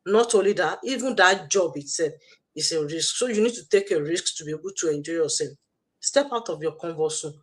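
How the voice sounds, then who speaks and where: A woman's voice: lowish voice, animated, a little fast, husky. A woman with a Nigerian accent hosting a motivational livestream, exhorting listeners and repeating her phrases. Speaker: host, online business mentor.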